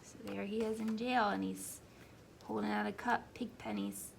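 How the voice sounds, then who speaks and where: A woman's voice: medium pitch, flat delivery, normal speed, creaky, a little hiss or hum. A woman preschool teacher explaining a story simply and prompting young children with questions during a read-aloud.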